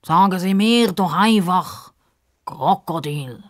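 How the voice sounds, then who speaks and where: Woman putting on a pompous, slow-talking male crocodile. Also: lowish voice, expressive. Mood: offended, pedantic. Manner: pompous, drawn-out, over-polite formal speech.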